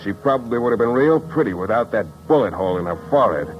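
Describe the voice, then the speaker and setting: A man's voice: medium pitch, lively intonation, fast, natural voice. An actor playing a cocky, sardonic private detective in a 1950s radio drama, wisecracking and narrating his own case. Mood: dry, brisk. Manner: wry, sardonic. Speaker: lead actor and first-person narrator. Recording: muffled old radio recording with music.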